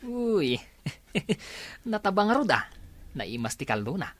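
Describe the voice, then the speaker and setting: A woman playing an excitable, grumbling villager in an Ilocano comedy radio drama. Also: medium pitch, slow, dry recording. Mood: chummy.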